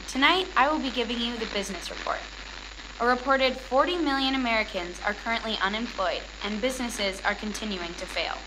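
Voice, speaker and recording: A woman's voice: fairly high pitch, noisy background, close to an everyday voice. A woman playing a 1930s radio newswoman, reading scripted reports in a period broadcast.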